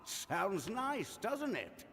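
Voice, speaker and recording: An actor voicing a mocking, theatrical man in a video game, drawling with a gravelly edge. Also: high-pitched, very animated, drawn-out words, bright, forceful tone, slight background noise, heavily acted. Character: mocking, theatrical male taunter. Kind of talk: dramatic video game voice acting. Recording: reverberant game audio.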